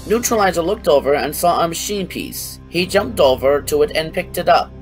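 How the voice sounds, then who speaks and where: A man narrating a scripted fan fiction story in an expressive way. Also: a little high, moderate pace, music in the background.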